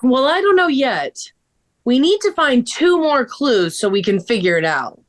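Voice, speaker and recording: A woman playing an excitable, eager young female amateur sleuth, expressively, in a children's mystery table read. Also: sits high, a little slow. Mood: eager, friendly. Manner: expressive, exclamatory.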